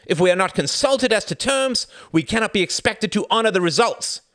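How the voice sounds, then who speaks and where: Guy voicing a fired-up president, raised voice, up high and all over the place. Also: lively intonation, fairly quick, no echo, clean studio sound. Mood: indignant. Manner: loud, indignant, raised voice. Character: heated, desperate middle-aged male president.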